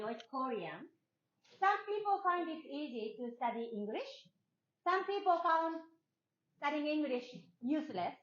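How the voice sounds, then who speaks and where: A woman's voice: high-pitched, a bit bright. A Japanese woman teaching a class in English with a strong Japanese accent, asking questions and often repeating herself. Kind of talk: classroom talk with students. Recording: echoey room, dull lo-fi sound.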